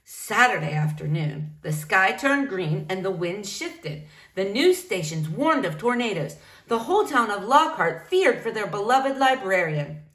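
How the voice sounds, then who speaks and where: A woman reading a picture book aloud to young children in an expressive, animated way. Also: medium pitch. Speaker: storybook reader.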